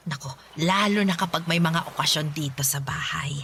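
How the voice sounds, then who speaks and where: A woman playing a warm, modest, chuckling middle-aged mother who welcomes a guest, in an audio love-story drama. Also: sits low, moderate pace, a little hiss or hum. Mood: modest, pleased. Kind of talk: radio-style love story drama acting.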